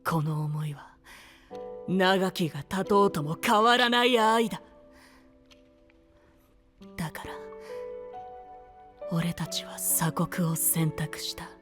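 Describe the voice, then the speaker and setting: A frail, breathy young knight. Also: male voice, high voice, slow pace, long pauses. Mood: wistful. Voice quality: soft, breathy, often whispered. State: weak and tired. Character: frail young male twin knight, gentle and guarded. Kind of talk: anime voice drama acting. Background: background music.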